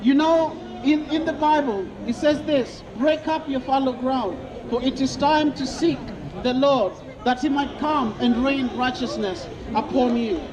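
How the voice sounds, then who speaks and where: A man's voice: very high voice, measured pace, hardly any pauses. A man from Kenya preaching outdoors in a busy city square, repeating questions to passers-by and quoting scripture. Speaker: street preacher. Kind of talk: open-air street preaching. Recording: lo-fi outdoor recording with crowd noise.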